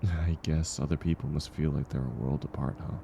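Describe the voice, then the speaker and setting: A man acting a kind, gentle stranger in an intimate audio roleplay, speaking reassuringly and unhurriedly to the listener. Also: rumbling low, steady tone, gravelly, highs cut off, slight background noise. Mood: wistful, reflective. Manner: soft-spoken, reassuring, unhurried. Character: kind, gentle man, once homeless, sharing dinner with a stranger.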